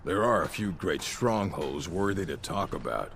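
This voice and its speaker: A stern orc chieftain from a fantasy game, with an extremely low, gravel-filled voice. He speaks in solemn, commanding declarations full of pride. There is clear noise behind him. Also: animated, moderate pace, fairly bright tone, heavily acted. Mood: stern, proud. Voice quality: very deep, gravelly. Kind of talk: fantasy video game acting.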